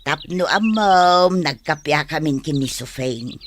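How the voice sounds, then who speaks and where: Slow, lilting Ilocano village wife. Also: female voice, low-pitched, animated, a little hiss or hum. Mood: cheerful, coaxing. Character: good-natured village wife and mother, newly reconciled and cheerful. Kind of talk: Ilocano radio comedy drama acting.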